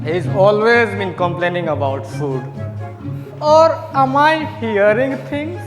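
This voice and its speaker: A man's voice: high-pitched, big pitch swings, full character voice. A man playing a drunken, spiteful watchman who slurs and stammers as he mutters. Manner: slurred, slow drunken singing and muttering. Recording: roomy echo, long reverb, music.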